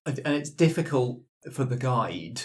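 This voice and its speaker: A man's voice: mid-range voice, lively intonation, unhurried, a little mellow. A man in a casual group discussion about tabletop gaming, explaining his reasoning aloud as a player. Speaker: player in a roleplay podcast.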